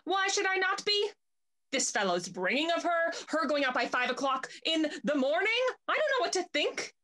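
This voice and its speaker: A woman acts out a jealous Restoration lady with a high voice and lively swings of pitch. She is haughty and indignant, playing it theatrically as in a stage comedy. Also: normal speed, heavily acted. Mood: jealous, bitter. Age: young adult. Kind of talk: Restoration comedy stage acting over video call. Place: small room at home. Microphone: video call.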